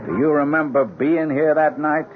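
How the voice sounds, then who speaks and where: A man's voice: a little high, moderate pace, natural voice. An actor playing a hard-nosed American police lieutenant in a radio drama, curt, clipped and pressing with his questions. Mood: stern, pressing. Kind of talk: 1970s radio drama acting. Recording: tinny, hissy 1970s radio broadcast.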